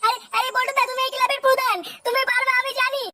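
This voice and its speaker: A performer voicing a brash, foul-mouthed and excitable young cartoon club lad in a comedy. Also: very high voice, rapid-fire, distant mic, heavy background noise. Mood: mocking.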